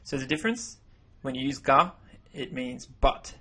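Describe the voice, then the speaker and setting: Male voice-over teacher, native English speaker, husky, casual and self-correcting. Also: medium pitch, normal speed. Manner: relaxed, self-correcting explanations. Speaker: language teacher. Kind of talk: narrated slideshow lesson.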